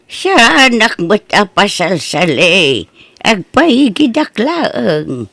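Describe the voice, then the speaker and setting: A woman's voice: mid-range voice, very animated, slow, creaky, a bit bright, dry recording, exaggerated voice. A woman playing a frail elderly mother who pleads for a place to sleep, in a radio drama. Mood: pleading.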